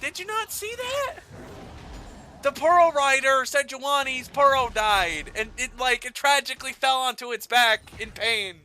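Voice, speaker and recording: A man doing play-by-play esports commentary, excitable and playful, with dramatic phrasing and casual banter. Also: extremely high pitch, measured pace, slight background noise.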